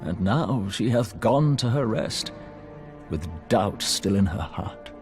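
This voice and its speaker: A solemn scholar from a fantasy video game, speaks in a low, very slow voice. His diction is archaic and courtly, weighed with grief and formality, over a light bed of music. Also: lively intonation, darkish tone. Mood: regretful. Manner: formal, deliberate, theatrical.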